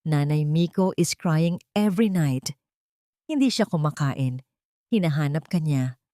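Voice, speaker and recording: A woman's voice: low voice, lively intonation, a little slow, warm tone, dry recording, clean studio sound. A woman voicing a manipulative rich younger woman in a storybook drama, coaxing and theatrical with hidden cruelty. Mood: sweet, tearful pleading.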